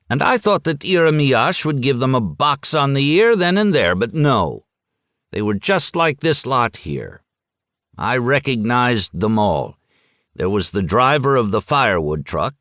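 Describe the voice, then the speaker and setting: A boastful, touchy old drunk, as a male reader puts him on in an audiobook. He talks high above the reader's own pitch, swinging wildly up and down. The sound is dry and close. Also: fairly bright tone, dry recording. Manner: indignant, blustering storyteller.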